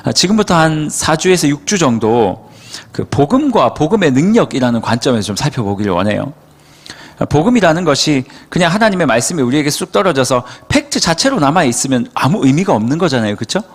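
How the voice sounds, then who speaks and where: A man from Seoul, a youth pastor, preaching a sermon in a relaxed, conversational way and often checking that listeners agree. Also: on the high side, brisk. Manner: conversational, asks the room for agreement. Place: church hall. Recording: long hall reverb.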